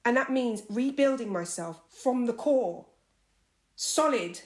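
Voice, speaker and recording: A woman's voice: a little high, animated, takes it slowly. A woman playing a firm, determined young woman. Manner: firm, earnest, emphatic. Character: determined young woman set on becoming a lawyer.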